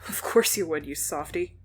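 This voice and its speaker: A woman playing a hot-headed, gruff teenage girl who speaks bluntly and swears freely, with a soft spot underneath, in an audio drama. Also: low-pitched, unhurried, slight background noise. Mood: gruff, demanding.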